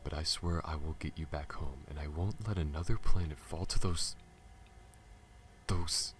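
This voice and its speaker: A man playing a guarded, protective alien smuggler pilot in an ASMR audio roleplay, earnest and halting, speaking to the listener. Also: extremely low pitch. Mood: reassuring, earnest. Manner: halting, stammers when flustered, earnest. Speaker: voice actor. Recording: audible background noise.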